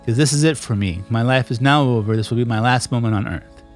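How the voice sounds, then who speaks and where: A man hosts a loose, joking conversation with his elderly mother, laughing often and slipping into goofy voices. Faint music runs quietly behind him. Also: mid-range voice, fast talker. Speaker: host interviewing his mother. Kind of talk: casual chat.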